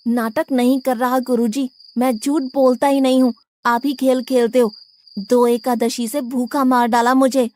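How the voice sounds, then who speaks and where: A high-voiced young boy devotee played by a woman from a cartoon moral tale. He is hungry, hot-headed and quick to complain, speaking bluntly to his elders. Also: level tone, a little mellow, slight background noise, lightly acted. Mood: stubborn. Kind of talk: animated moral-story acting.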